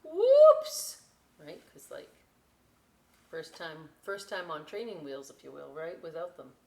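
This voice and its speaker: A woman reading a picture book aloud as a storytime host, enthusiastic and expressive, with dramatic emphasis and chatty asides. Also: low voice, slow. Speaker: library storytime reader. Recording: roomy echo, clear background noise.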